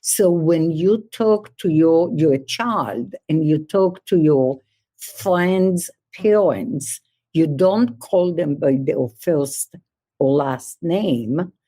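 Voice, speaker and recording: A woman guest on a podcast, born in Poland and raised in Israel, telling stories in a thoughtful, measured way. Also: low voice, expressive, mellow. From born in Poland, grew up in Israel. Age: older. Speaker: guest, security expert. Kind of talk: podcast conversation.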